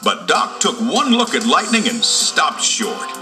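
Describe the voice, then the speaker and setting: A man's voice: on the high side, wildly swooping pitch, measured pace. A man narrating a scripted read-along storybook for children. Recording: loud music behind the voice.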